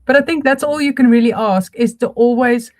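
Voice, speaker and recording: A woman, a podcast co-host, speaking thoughtfully and softly. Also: on the high side, a little fast, no echo, studio quality. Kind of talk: casual podcast chat.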